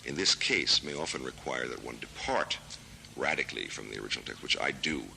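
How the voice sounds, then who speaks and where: An American man in his thirties, a literary translator, answering interview questions in a thoughtful, measured way with many hesitations. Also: rumbling low, expressive, gravelly, pushed and bright, slight background noise. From United States. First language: English. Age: 30s.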